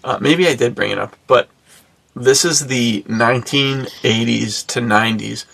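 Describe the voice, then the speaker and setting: A man's voice: mid-range voice, measured pace, creaky, fairly bright tone, low-quality recording. An American man co-hosting a podcast in a casual, slangy, rambling way, slipping into imagined remarks as he goes. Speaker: podcast co-host. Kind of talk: casual chat.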